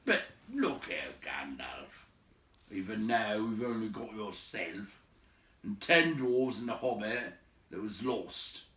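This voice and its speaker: A man voicing a gruff, growling, impatient big man, a grudging host in a storybook, with emphatic, drawn-out phrasing. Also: lowish voice, lively intonation, pushed and bright, heavily acted. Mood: suspicious, demanding. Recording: roomy echo, distant from mic.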